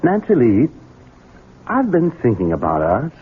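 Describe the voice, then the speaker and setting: An actor playing a resentful, devious man in a radio drama, excitable and falsely sweet. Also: mid-range voice, measured pace, background noise. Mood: smoothly devious. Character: resentful, scheming Southern husband and coldly devious son. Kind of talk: 1970s radio drama acting. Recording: muffled, narrow-band old radio recording.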